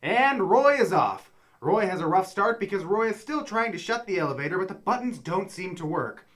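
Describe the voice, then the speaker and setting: A man playing a dramatic, excited sports announcer, giving mock play-by-play in a comic radio drama. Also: high-pitched, moderate pace. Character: frantic sports announcer calling an alien chase.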